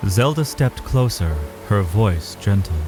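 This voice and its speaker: A man's voice: fairly low pitch, animated, a little slow, over music. A man narrating a scripted fantasy story in a dramatic style.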